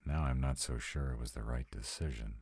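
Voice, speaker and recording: A man's voice: very deep voice, a little fast, a little mellow, slightly rough, no echo, studio quality, full character voice. A man playing a troubled young sarcastic vampire, confiding casually and with some swearing and hesitation in an intimate audio roleplay. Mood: uneasy, confiding.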